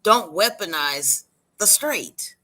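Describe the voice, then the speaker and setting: A woman's voice: on the low side, expressive, sharp tone. A woman from Puerto Rico, a hairstylist, making her point emphatically on a livestream panel. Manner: emphatic, preachy, drawn-out lists.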